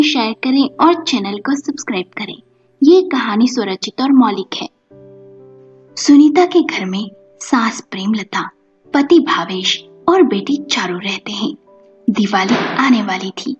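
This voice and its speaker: A woman's voice: on the high side, a little mellow, breathy edge. A woman narrating a scripted story as a storyteller. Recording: dull lo-fi audio with music behind. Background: music.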